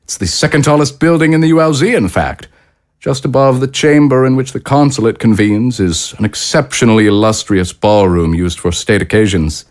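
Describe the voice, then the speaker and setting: An actor playing a courtly, smooth-talking consul in an audio drama, formal, stately and politely condescending. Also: male voice, mid-range voice, moderate pace, darkish tone, lightly acted. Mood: politely pointed. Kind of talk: dramatic dialogue acting.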